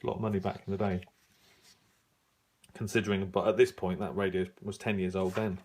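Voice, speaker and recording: A middle-aged man giving a casual, unscripted review, musing and halting as he thinks aloud while browsing. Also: low voice, little pitch movement, a little mellow. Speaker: radio hobbyist reviewing an old magazine.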